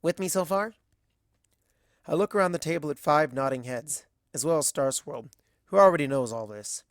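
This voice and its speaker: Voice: medium pitch, lively intonation, fairly quick, no echo. Close, dry narration of a story. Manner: acts out dialogue in varied character voices. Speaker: narrator of a fan fiction audiobook. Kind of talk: scripted first-person story narration.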